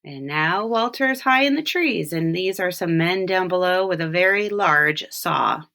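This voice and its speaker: A woman reading a children's storybook aloud, with expressive, deliberate phrasing and short explanations. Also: fairly low pitch, creaky. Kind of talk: read-aloud storytelling with brief picture explanations.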